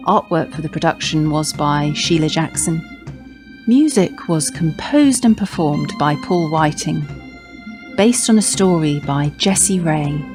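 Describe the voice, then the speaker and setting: A woman announcer reading scripted cast credits for a radio drama, with music playing behind her. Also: low voice.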